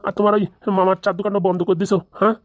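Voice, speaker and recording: Blunt, chatty comedy-cartoon village uncle, acted by a woman: rapid, slightly low, dry recording. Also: level tone, no echo, close to an everyday voice. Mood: gossipy. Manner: chatty, needling, colloquial.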